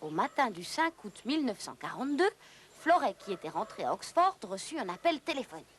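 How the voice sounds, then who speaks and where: A woman's voice: fairly high pitch, sing-song, a little fast, background noise. A woman narrator delivering scripted educational cartoon narration in a storytelling manner.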